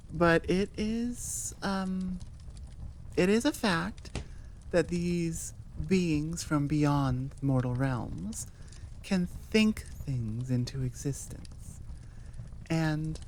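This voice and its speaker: A woman's voice: low-pitched, darkish tone, a little hiss or hum. Rambling, chatty woman storyteller. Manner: rambling and chatty, with many fillers. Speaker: audio creator and storyteller. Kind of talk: casual outro chat.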